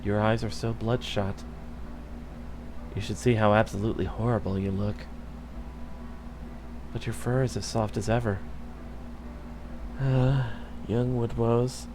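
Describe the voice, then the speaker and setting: A man voicing a cold, aloof fairy queen who speaks quietly and condescendingly. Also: medium pitch, measured pace, pauses often, noisy background, close to an everyday voice. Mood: tender scorn.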